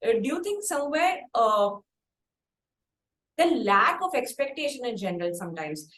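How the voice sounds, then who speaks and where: A woman hosting a conversational interview, asking halting, wordy questions. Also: fairly high pitch, big pitch swings, fairly bright tone. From India. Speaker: interview host. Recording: echoey room with light background noise.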